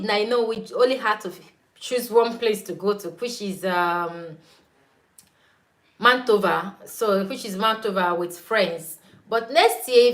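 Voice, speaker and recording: A woman's voice: mid-range voice, fairly bright tone. A young woman with a Nigerian accent, whose first language is Igbo, chatting casually on a livestream, laughing often and greeting viewers by name. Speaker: YouTube host. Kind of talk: casual livestream chat reading viewer comments.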